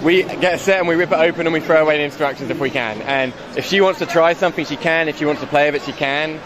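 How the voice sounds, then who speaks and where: A man's voice: a little high, fast talker. A man in his thirties talking casually in an interview as a hobby builder. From Montgomery, Alabama, is where he is from now. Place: large indoor convention hall. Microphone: handheld interview microphone. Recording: busy convention hall crowd noise. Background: crowd chatter.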